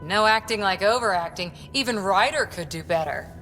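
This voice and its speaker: A woman playing a blunt, sarcastic spaceship crewmate in a video game, speaking in a wry, brisk way. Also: medium pitch, expressive, fairly bright tone, close to an everyday voice. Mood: sardonic. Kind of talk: casual video game dialogue. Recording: reverberant, faint music underneath.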